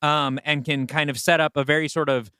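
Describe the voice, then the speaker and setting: A man co-hosting a podcast, talking through story ideas casually and reasoning them out in a measured way. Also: on the high side, steady tone, bright, forceful tone, clean tone, no room sound, studio quality. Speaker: podcast co-host and story writer. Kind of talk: casual brainstorming conversation.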